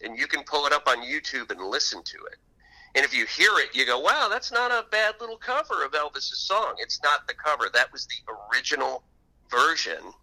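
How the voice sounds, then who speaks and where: A man speaking as an author guest in an interview, telling stories conversationally and acting out the odd quote in an animated way. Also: on the high side, brisk, poor audio.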